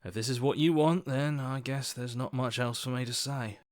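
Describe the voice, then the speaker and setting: A man's voice: medium pitch, steady tone, normal speed, no room sound, clean studio sound, lightly acted. A man voicing an earnest, anxious hero as a reluctant inner voice in a video game, conversational, hesitant and wry. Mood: resigned, exasperated. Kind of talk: dramatic video game voice acting.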